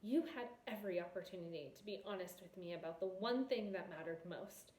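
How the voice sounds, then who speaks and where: A woman's voice: fairly low pitch, fairly quick, a little mellow, reverberant, lightly acted. Woman playing a proud, icy princess, clipped and accusing. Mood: hurt, accusing.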